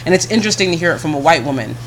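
A woman co-hosting a casual podcast chat, talking bluntly and frankly in a deep, heavy voice she keeps a little higher than her natural one. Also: animated, very fast, slight background noise. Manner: blunt, frank, swears, laughs often. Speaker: podcast co-host.

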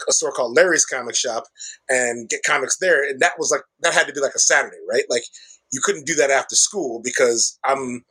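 Husky middle-aged man, chatty. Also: mid-range voice, fairly bright tone, rough recording. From Chicago, USA. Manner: chatty, explanatory, peppers speech with "like" and "right?". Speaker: comic shop owner, guest. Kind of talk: conversational podcast interview.

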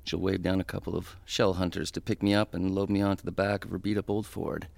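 An actor playing a wistful, self-pitying, indecisive man in a satirical audio drama, rambling in a downbeat, gravelly voice. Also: low-pitched, flat delivery, fast, darkish tone, dry recording. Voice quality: gravelly, creaky.

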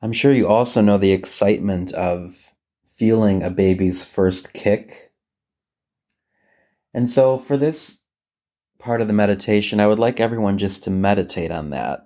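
A man speaking as a priest leading a guided scripture meditation, gentle and unhurried, with long pauses. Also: on the low side.

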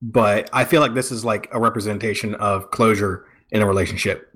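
A man's voice: lowish voice, steady tone, fairly quick, a bit bright. A man on a casual discussion panel, explaining reflectively and rephrasing himself as he goes. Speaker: podcast panelist.

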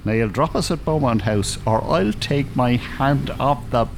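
A man's voice: mid-range voice, lively intonation, measured pace, gravelly, a little hiss or hum. An actor playing a sardonic, well-spoken older Dublin man, wordy and mock-formal in a radio drama. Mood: wry, stern.

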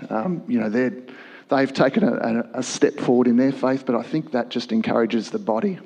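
A man, a church worship leader, giving a measured, thoughtful answer on a panel. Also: mid-range voice, darkish tone, slightly rough. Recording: long hall reverb.

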